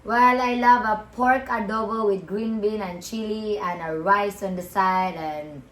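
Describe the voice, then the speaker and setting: A woman's voice: mid-range voice, steady tone, measured pace, reverberant, rough recording. A woman in her twenties from the Philippines, chatting casually and giggly, with loose non-native phrasing. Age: 20s. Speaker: vlogger's partner. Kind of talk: casual to-camera chat.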